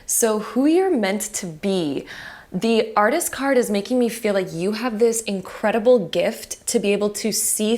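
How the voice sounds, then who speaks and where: A woman giving a pick-a-card tarot reading to her viewers, soft and affirming, her voice a little cracked from having just woken up. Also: mid-range voice. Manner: soft, affirming and spiritual, full of fillers. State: voice cracking after just waking.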